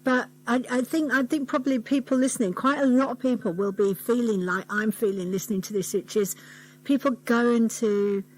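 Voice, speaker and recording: A woman's voice: a little high, normal speed, low-quality recording. A woman with a British accent hosting an informal radio interview, thinking aloud with some hesitation.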